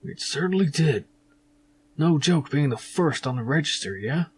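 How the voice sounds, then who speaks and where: A man's voice: on the high side, animated, normal speed, darkish tone, husky, voice pushed up. A man voicing a matey, eager insurance salesman in an adventure game, tagging his lines with a questioning turn. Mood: matey, resigned. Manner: matey, tags sentences with questioning "yeah". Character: chatty, eager male insurance salesman named Billy Anker.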